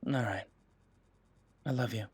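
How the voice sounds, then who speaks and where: A protective werewolf detective played by a man talks gently to his partner in an intimate audio roleplay. His mid-range voice is worried yet reassuring, with hesitant pauses. The sound is dry and close, free of room echo. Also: mid-range voice, husky. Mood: tender.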